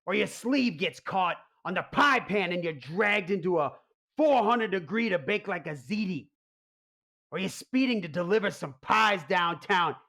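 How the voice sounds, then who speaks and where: A man's voice: sits high, a bit bright, heavily acted. Man playing a frazzled, melodramatic mob boss. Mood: grave, dramatic. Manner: exasperated, melodramatic, whiny. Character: stressed, neurotic male mob boss secretly running a pizzeria. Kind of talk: comic radio play acting.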